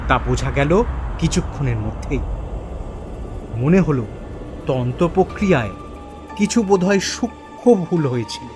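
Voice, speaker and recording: Slow, animated man narrating a horror story. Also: mid-range voice. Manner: suspenseful, deliberate phrasing. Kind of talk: dramatic first-person scripted narration.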